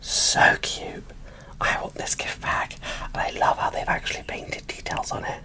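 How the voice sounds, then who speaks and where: A woman whispering an ASMR unboxing commentary in a chatty, informal, gushing way. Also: a little slow. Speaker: video creator presenting a doll unboxing.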